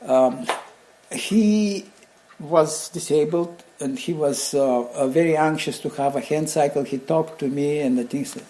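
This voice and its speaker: An older man with a Bulgarian accent speaks in a mellow, relaxed voice that sits a little high. He tells anecdotes casually, stopping and restarting, wandering off and picking up again with animation. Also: a little hiss or hum, poor audio. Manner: halting, rambling, animated anecdotes with chuckles. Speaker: interview guest, craftsman and designer. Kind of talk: casual conversational storytelling.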